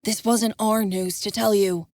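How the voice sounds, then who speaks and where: A woman's voice: medium pitch, fairly even intonation, a little slow, a little mellow, no room sound, studio quality, natural voice. A woman voicing a hot-tempered young man defending his sister, snapping and raging.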